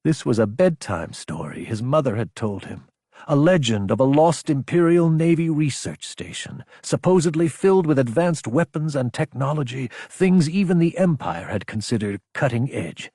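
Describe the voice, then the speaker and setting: A man narrates an audiobook with a dramatic, scripted delivery. His mid-pitched voice has a husky grain and is recorded dry, with hardly any room sound. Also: lively intonation, moderate pace, mellow, no room sound.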